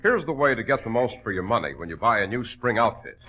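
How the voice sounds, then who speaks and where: Animated male vintage radio announcer. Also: medium pitch, quick pace, loud music behind the voice. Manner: emphatic, salesmanlike. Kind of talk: 1940s radio advertising pitch. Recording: dull old-time radio recording.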